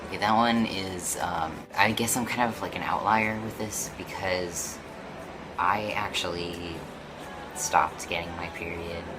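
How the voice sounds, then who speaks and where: A young man chatting casually to his camera at home, keeping his voice hushed and half-whispered, with music playing behind. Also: sits low, fairly even intonation, measured pace. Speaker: vlogger. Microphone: camera mic on a shaky setup.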